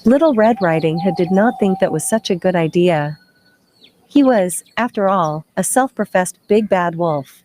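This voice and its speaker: A woman's voice: lowish voice, expressive, moderate pace, dark tone, slight background noise. A woman narrating an interactive children's story, playful and conversational, speaking directly to the kids.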